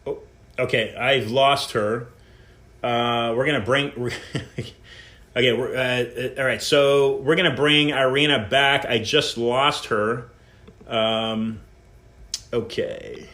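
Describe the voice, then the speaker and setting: A man hosting a live call-in show, introducing and questioning a guest in a halting way, with many restarts. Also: medium pitch, steady tone, pushed and bright. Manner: halting, full of restarts and fillers. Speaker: podcast host.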